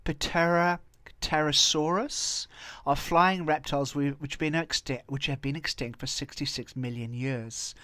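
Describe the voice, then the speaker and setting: A man's voice: fairly high pitch, fairly even intonation, measured pace, few pauses, pushed and bright, no room sound. A man with a Welsh accent hosting a video, giving casual, rambling off-the-cuff commentary. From Wales. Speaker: video host commenting on viral clips and tabloid stories.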